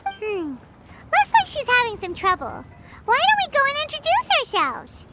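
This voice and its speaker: A woman voicing a small, chirpy, eager fairy companion in a video game, with a squeaky childlike voice and an exclamatory, talkative manner. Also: wildly swooping pitch, normal speed, heavy background noise, full character voice. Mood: curious. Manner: refers to herself in third person, exclamatory.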